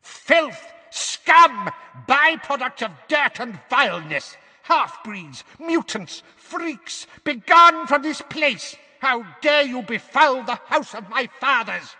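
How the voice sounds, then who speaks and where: A man voicing a hateful old woman in an enchanted portrait, howling insults in a shrill screech for a storybook. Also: very animated, slow pace, few pauses, pushed and bright, in a large hall, exaggerated voice. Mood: venomous rage. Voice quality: ear-splitting shrill screech.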